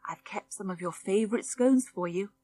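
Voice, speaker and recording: A woman's voice: mid-range voice, normal speed, warm tone, close to an everyday voice. Woman playing a kindly, bustling farmer's wife. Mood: coaxing. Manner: soothing, motherly.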